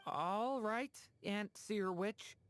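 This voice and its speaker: A woman playing a gentle, polite, earnest young dragon boy in a video game. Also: medium pitch, drawn-out words, a little hiss or hum.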